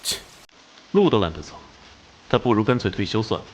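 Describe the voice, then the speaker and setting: An actor playing a hot-tempered, commanding police detective captain in an animated crime drama, brusque and scornful. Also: male voice, lowish voice, brisk, slight background noise. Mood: scornful, irritated.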